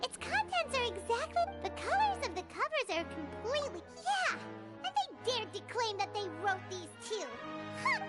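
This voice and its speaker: A woman voicing an excitable tiny floating fairy companion who talks about herself in the third person, in game audio with music behind. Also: very high-pitched, moderate pace. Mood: excited.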